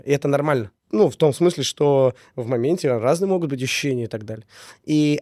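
A man's voice: fairly high pitch, darkish tone, dry recording, studio quality. A man with a slight cold speaking casually and reflectively as an interview guest, emphatic, repeating phrases in lists. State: getting over a cold. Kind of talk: casual reflective talk on relationships.

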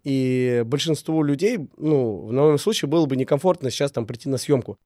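A man's voice: mid-range voice, a little fast, mellow, no echo, studio quality. A man getting over a cold, talking earnestly in a casual interview and repeating key words with many fillers. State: recovering from a cold. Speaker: business owner, guest.

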